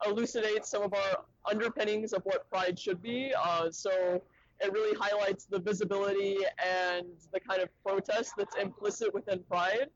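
A man's voice: high voice, a bit bright, dry recording. A young man from Arkansas, a podcast guest speaking thoughtfully and academically, with frequent ums and uhs. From Little Rock, Arkansas, USA. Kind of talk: casual interview talk. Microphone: remote call from a conference. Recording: dull lo-fi sound, dry.

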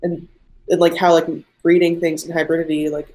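A woman's voice: low-pitched, flat delivery, brisk, darkish tone, rough recording. A young woman, an artist guest, chatting casually in an interview, rambling with many "like" fillers. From mixed Egyptian and Irish family. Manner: rambling, heavy use of "like" fillers.

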